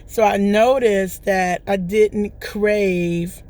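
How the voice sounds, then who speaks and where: Hoarse woman chatting on her vlog. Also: medium pitch, fairly even intonation, drawn-out words, darkish tone. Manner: rambling, chatty, often checks in with "right?". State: hoarse, recovering from lost voice. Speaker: vlogger. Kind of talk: casual testimonial chat.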